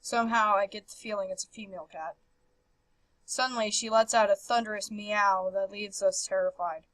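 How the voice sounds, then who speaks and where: A woman hosting a game playthrough, reading visual novel text aloud with animated, casual reactions and stumbling over words now and then. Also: medium pitch, normal speed, low-quality recording. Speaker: host of a video game playthrough. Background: game background music.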